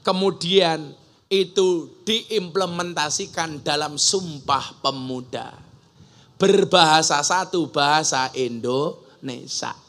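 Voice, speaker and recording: A man's voice: fairly high pitch, slow pace, fairly bright tone. A man preaching a humorous sermon to a gathering, joking and mimicking regional dialects. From Java. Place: outdoor religious school gathering.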